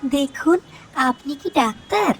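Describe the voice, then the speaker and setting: A woman's voice: high voice, animated, a little slow, slight background noise. A woman voicing an anxious little girl, pleading earnestly in an audio story drama. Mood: earnest questioning.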